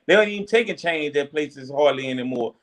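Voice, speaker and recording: A man holding forth on a casual podcast, earnest and a little preachy as he hands out advice to parents. His voice sits fairly high for a man. He circles back on himself with "you know what I'm saying.". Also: animated, fairly quick. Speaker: podcast co-host.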